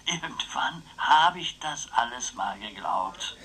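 An eccentric older uncle in a radio drama. His voice is bright and very lively in melody, and he rambles on in a chatty, wry, philosophical way, teasing as he muses. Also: mid-range voice, slow pace, breathy edge, exaggerated voice. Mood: teasing, musing. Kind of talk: radio play acting.

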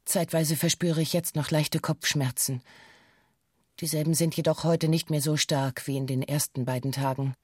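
An actress playing a female witness in a radio play, reciting a police statement in a stiff, measured, matter-of-fact way. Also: low-pitched, fairly even intonation, husky, dry recording, studio quality. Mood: formal, composed. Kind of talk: radio play, formal recited testimony in bureaucratic German.

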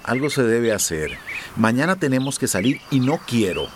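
An actor playing a sly, joking, boastful people smuggler who cajoles others in a radio soap opera. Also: male voice, medium pitch, very animated, moderate pace, natural voice. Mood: insistent. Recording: noisy outdoor scene with birdsong. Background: chirping birds, outdoor ambience.

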